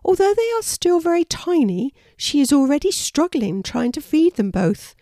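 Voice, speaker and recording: A woman's voice: high voice, very animated, moderate pace, dark tone, no echo, clean studio sound, pitched above the performer's own voice. A woman voicing a helpful, reassuring mother wallaby with an earnest, motherly manner in a children's storybook. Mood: friendly, helpful.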